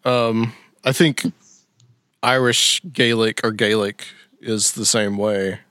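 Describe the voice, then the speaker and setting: A man's voice: fairly low pitch, steady tone, slow pace, fairly bright tone, clear, resonant tone, no room sound. Podcast host guy, laid back and enthusiastic, dry close mic. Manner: easygoing and enthusiastic, fillers and restarts. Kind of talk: casual podcast chat.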